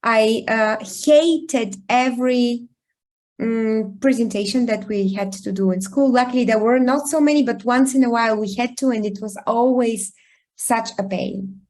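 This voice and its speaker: A woman from Bulgaria, speaking English as a guest in an online talk, telling a personal story thoughtfully and pausing often with ums. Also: on the high side, a little mellow. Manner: reflective, thoughtful, with frequent pauses and ums. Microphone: video call.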